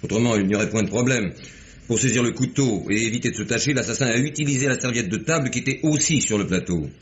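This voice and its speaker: An actor playing a shrewd, wry police commissioner in an old radio drama, measured and ironic. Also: male voice, medium pitch, slight background noise, lightly acted. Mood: probing. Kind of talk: 1950s French radio drama acting. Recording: dull old radio recording.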